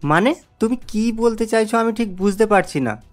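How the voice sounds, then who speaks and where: A man's voice: sits high, lively intonation, moderate pace. A man plays an irritable cartoon husband and son. He scolds in a curt, impatient way over faint background music. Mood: grumbling. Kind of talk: cartoon story voice acting.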